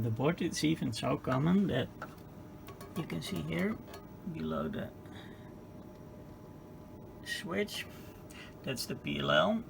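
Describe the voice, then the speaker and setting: A man giving a casual hands-on explanation in a halting, hesitant, matter-of-fact way. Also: a little high. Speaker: hobbyist presenter of radio repair. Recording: clear background noise.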